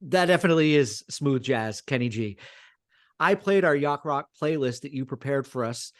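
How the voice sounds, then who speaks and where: A man's voice: mid-range voice, expressive, moderate pace, no room sound, clean studio sound. A man co-hosting a casual podcast who jokes at his own expense and laughs at his own lines. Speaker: podcast co-host.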